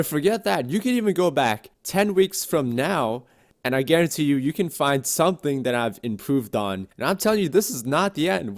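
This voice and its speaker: A man who makes gaming videos on YouTube, doing casual gameplay commentary and channel talk in an excitable, joking way, with lots of swearing. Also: mid-range voice.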